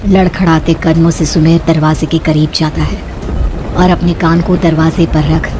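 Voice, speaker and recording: A woman's voice: deep voice, level tone, moderate pace, noisy background. A woman narrator giving a scripted, dramatic narration of a cartoon horror story.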